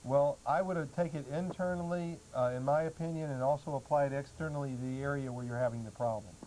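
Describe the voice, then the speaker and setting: A man speaking as a talk-show guest, explaining health remedies in a halting, matter-of-fact way. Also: mid-range voice, steady tone, warm tone. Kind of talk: explanatory talk-show answers, some reading aloud. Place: public access television studio. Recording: noisy old public access TV audio.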